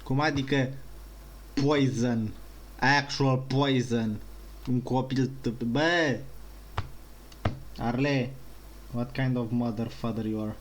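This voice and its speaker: A man's voice: mid-range voice, fairly even intonation, takes it slowly, long pauses. Romanian guy streaming a game, casual and sweary, mid voice, bit of background noise. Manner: crude, swearing, switches between two languages. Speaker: gaming streamer.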